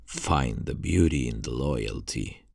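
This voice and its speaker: A man playing a seductive male vampire master in an ASMR roleplay, speaking in a formal, florid, coaxing way with a gravelly, husky voice. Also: extremely low pitch, animated, slow pace, darkish tone, no echo, studio quality, full character voice. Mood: coaxing, tender. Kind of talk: ASMR roleplay, scripted monologue.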